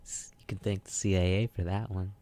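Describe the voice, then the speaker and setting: Rambling low-voiced man chatting on radio. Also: little pitch movement, brisk, dark tone. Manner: rambling, repeats phrases as he argues a point. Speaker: radio show co-host. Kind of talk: casual opinionated chat. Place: radio studio.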